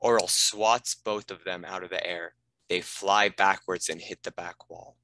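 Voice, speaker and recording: A man's voice: sits low, fairly even intonation, unhurried, bright, forceful tone, a little hiss or hum. A man reading scripted narration and stage directions for a fantasy script read.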